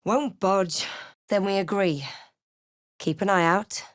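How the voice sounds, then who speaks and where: A woman's voice: mid-range voice, slow, lightly acted. A woman playing a determined, snarky adventurer and spellcaster in a video game, with clipped, theatrical delivery. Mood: wary, matter-of-fact.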